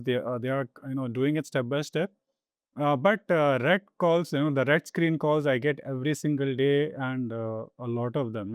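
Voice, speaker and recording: A man's voice: medium pitch, clean tone, dry recording. A man with an Indian accent chatting casually as a podcast host, switching between Hindi and English in a wordy, hesitant way.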